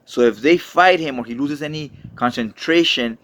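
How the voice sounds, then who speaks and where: A man's voice: medium pitch, moderate pace, a bit bright. Male host for a comic recap show, mid-range voice, casual conversational storytelling with slangy phrasing and asides.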